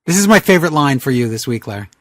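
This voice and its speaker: A man's voice: mid-range voice, animated, racing, dry recording. A man co-reading on a podcast, making casual, joking asides. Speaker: podcast co-reader.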